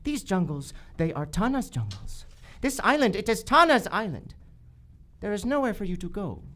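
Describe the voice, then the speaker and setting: A woman's voice: mid-range voice, big pitch swings, normal speed, a bit bright. A woman playing a solemn, menacing jungle priest in a radio adventure, speaking in formal, grandiose phrases. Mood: soothing, ominous. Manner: formal, grandiose, refers to self in third person. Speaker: actor in live radio theater. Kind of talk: melodramatic radio adventure acting. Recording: live stage recording with faint music.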